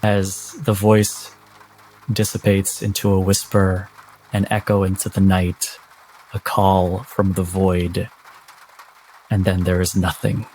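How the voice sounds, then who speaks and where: A man narrating a tabletop game as game master in a measured, deliberate, dramatic style. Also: deep voice, little pitch movement, a little mellow, a little hiss or hum, poor audio.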